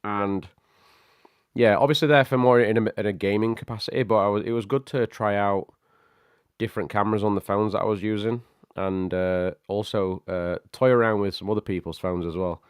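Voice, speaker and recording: A man with a lowish, smooth voice hosts a relaxed tech livestream, chatting easily and calling out viewers by name. His voice has a slight croak from a lingering cold, and the sound is close and dry, with no room echo. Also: fairly quick. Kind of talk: casual livestream chat. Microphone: studio microphone.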